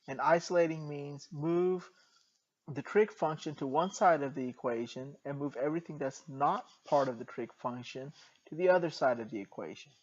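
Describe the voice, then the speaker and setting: A man teaching a math lesson in a soft voice, working through a problem step by step and slipping in dry jokes. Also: fairly high pitch, moderate pace, highs cut off. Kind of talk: jokey recorded math lesson.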